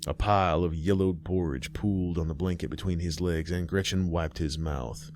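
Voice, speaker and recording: A man narrating a horror story in a slow, grim, deliberate way. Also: sits low, darkish tone, slight background noise.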